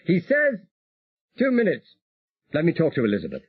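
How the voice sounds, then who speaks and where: A man voicing a brisk, cheerful male pilot heard over a faint radio, clipped and breezy. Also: on the high side, wildly swooping pitch, breathy edge, dry recording, narrow, tinny audio, pitched above the performer's own voice, exaggerated voice.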